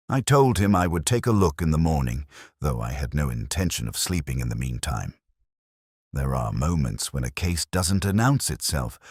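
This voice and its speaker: A man reading a scripted first-person detective story in a measured, literary, brooding manner. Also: rumbling low, dry recording, clean studio sound. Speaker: narrator.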